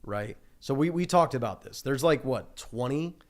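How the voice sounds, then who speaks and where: A man's voice: medium pitch, expressive, moderate pace. A twenty-year-old man hosting a casual podcast in a small studio, talking loosely with lots of fillers and restarts. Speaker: podcast host. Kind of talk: casual chat. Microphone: studio mic on boom arm.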